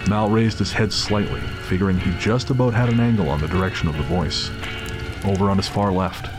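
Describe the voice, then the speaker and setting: Deep male narrator of dramatic fiction. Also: fairly quick. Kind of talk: dramatic scripted narration of a thriller story. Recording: music and rain under the voice.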